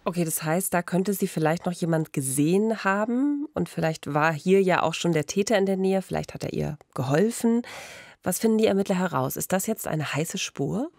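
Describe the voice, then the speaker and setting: A woman's voice: on the low side, expressive, moderate pace, gravelly, a little mellow, no room sound. A woman in her thirties co-hosting a conversational true-crime podcast on a close studio mic. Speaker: podcast co-host. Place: small radio studio. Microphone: close studio mic on boom arm.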